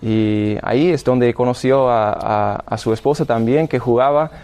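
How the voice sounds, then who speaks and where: A man's voice: medium pitch, darkish tone, clear, resonant tone. American man, hesitant Spanish. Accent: American English. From Illinois, United States. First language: English. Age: 30s. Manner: halting, many restarts. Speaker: seminarian guest. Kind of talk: radio interview testimony.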